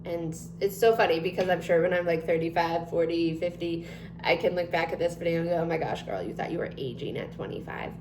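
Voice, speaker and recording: A woman's voice: lowish voice, fairly quick. A woman in her twenties chatting casually to camera, rambling with many ums and likes. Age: 20s. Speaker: vlogger. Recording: echoey room with noticeable hum.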